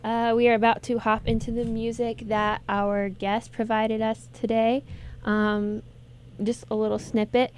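A woman's voice: on the high side, little pitch movement, unhurried, few pauses, slight background noise. A woman hosting a podcast, chatting casually and rambling with fillers and self-corrections. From southern United States. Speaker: podcast host.

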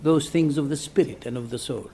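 A man whose first language is not English, reading a prepared scholarly lecture in a measured way with soft hesitations. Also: on the high side, vocal fry, a little hiss or hum.

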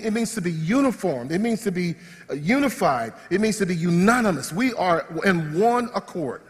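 A man preaching a lively sermon, acting out everyday scenes in voices. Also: sits high, big-room sound. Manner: animated, acts out body parts in comic voices. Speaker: pastor. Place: church sanctuary.

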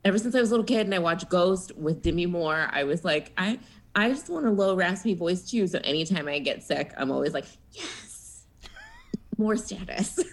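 A woman co-hosting a podcast in casual chat, animated and giggly, with lively storytelling asides. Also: fairly low pitch, moderate pace. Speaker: podcast co-host.

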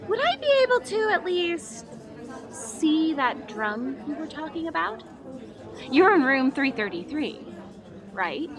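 Young two-faced female student, played by an actress, high swooping voice, sugary yet spiteful, audio drama, crowd murmur behind. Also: very animated, measured pace, full character voice. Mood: feigned sweetness. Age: young adult. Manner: sugary and coaxing, switching to snide. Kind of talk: acted audio drama dialogue.

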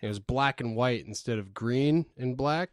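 A man's voice: medium pitch, normal speed, dry recording, studio quality. A man hosting a casual podcast, talking in a laid-back, joking way. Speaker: podcast host.

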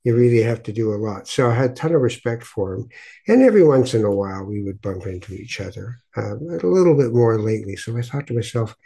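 A man hosts a podcast in a mellow, slightly rough-edged voice. He speaks conversationally and reflectively, repeating words as he gathers his thoughts. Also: medium pitch, animated, fast. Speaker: podcast host. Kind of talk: conversational interview with reflective introduction.

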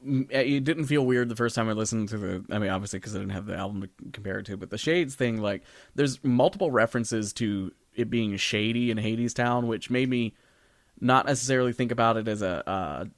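A man's voice: fairly low pitch, brisk, dry recording. A man co-hosting a casual chat, talking in a tentative, wandering, conversational way. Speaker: podcast co-host.

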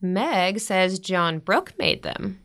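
A woman playing a warm, gently teasing middle-aged mother in a naturalistic audio drama, giving earnest advice. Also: medium pitch, very animated, slow, full character voice. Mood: caring.

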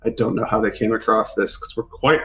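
A man's voice: on the low side, fairly even intonation, fairly quick, a bit bright. A man playing a tabletop roleplaying game, chatting casually in character with the group.

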